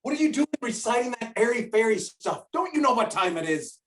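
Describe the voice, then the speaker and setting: Man acting a blustering shopkeeper dad, wildly animated. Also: very high-pitched, distant mic, heavily acted. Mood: bossy, impatient. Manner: blustering, emphatic, drawn-out words. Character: bossy, greedy shopkeeper father, middle-aged, hard-sell huckster. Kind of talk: stage play acting over video call.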